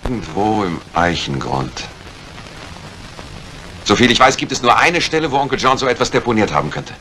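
A talkative, businesslike man, lowish in pitch with a bright edge. The delivery is old radio mystery acting, on a faintly noisy recording. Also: very animated, moderate pace, full character voice. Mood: pondering. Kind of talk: retro German radio crime drama acting.

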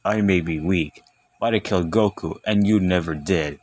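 A man voicing proud alien warriors trading taunts in an amateur anime comic dub, with deliberate delivery. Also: sits low, a little mellow, dry recording. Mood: proud, taunting.

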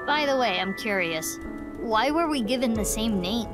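A woman's voice: on the high side, lively intonation, moderate pace, natural voice. A woman voicing an earnest, curious young woman detective in a video game. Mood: inquisitive. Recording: game audio with background music.

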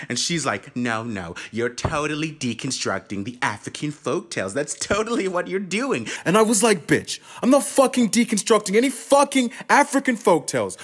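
Exasperated playwright, acted by a man, swinging pitch. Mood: exasperated, ranting. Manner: profane, confessional, emphatic. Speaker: student actor. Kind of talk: theatrical radio play monologue.

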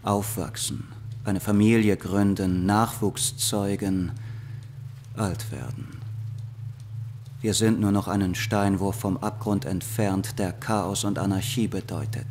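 A man's voice: fairly low pitch, darkish tone, slight background noise. An actor playing a grim man in a secret organization, speaking in a solemn, weighty tone. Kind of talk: dramatic prologue monologue.

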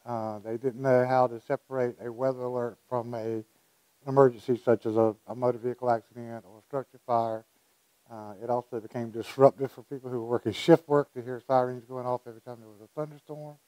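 A man's voice: medium pitch, flat delivery, brisk, dark tone, no room sound, poor audio. A man in his sixties, an official answering interview questions into a lapel mic. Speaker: county emergency services director. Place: indoor meeting room. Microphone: lapel microphone.

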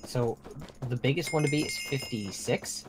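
A man playing an eager, naive teenage boy in an improvised game roleplay, chatty and animated. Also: mid-range voice, normal speed, background noise, lightly acted. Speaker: roleplay actor in a Minecraft series. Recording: loud music and chimes behind.

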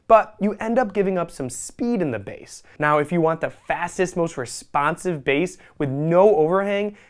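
A man in his thirties narrates a scripted product review in a polished, explanatory way. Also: a little high. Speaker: product reviewer and presenter for an audio retailer. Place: indoor showroom with acoustic panels.

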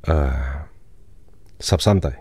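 A man playing a stern, suspicious emperor in a radio drama, speaking in a measured, imperious manner. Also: dark tone, dry recording, natural voice. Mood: calm, probing. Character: Qing emperor, suspicious, stern, commanding ruler. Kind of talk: Cantonese radio drama acting.

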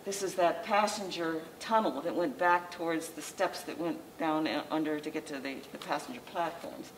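An older woman giving an informal slideshow lecture in a library meeting room, talking in a conversational, halting way with frequent restarts. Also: low-pitched. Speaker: local historical society president giving a lecture. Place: library meeting room, indoors, small audience. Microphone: far camera, masked speaker. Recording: distant camera mic with faint room noise.

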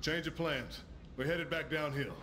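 A man's voice: on the high side, a little slow, lightly acted. A man playing a firm special forces squad leader in a video game, giving clipped commands. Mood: urgent, commanding. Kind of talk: video game military tactical dialogue. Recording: noisy action game mix.

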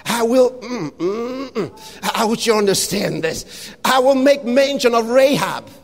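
A man preaching an impassioned sermon, shouting scripture with long drawn-out phrases. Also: very high-pitched, animated, long reverb tail.

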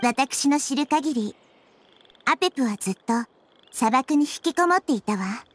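High-voiced, wise young goddess girl. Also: expressive, moderate pace. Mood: thoughtful. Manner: composed, explanatory, feminine phrasing. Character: wise, gentle, childlike goddess girl of nature. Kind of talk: Japanese anime game voice acting.

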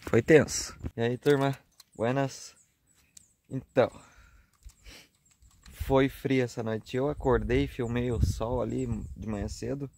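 A man in his thirties from southern Brazil, with a Rio Grande do Sul accent, chatting casually for his camping vlog. He talks in a relaxed way with frequent tag questions, recorded on a camera held at arm's length at an outdoor hilltop campsite. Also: medium pitch, takes it slowly, creaky, long pauses, a little hiss or hum. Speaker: travel vlogger. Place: outdoor campsite on a grassy hilltop, earlier inside a car at night.

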